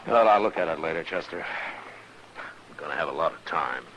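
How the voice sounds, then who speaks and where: A man's voice: rumbling low, expressive, a little fast, a bit bright, dull audio, slight background noise. An actor playing a firm, world-weary frontier lawman with a dry, blunt manner in an old-time western radio drama. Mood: dry, patient.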